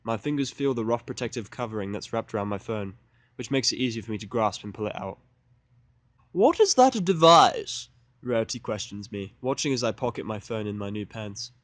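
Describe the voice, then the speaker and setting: A man's voice: lowish voice, steady tone, fast, dry recording. A man reading scripted first-person fan fiction as the narrator of an audio drama.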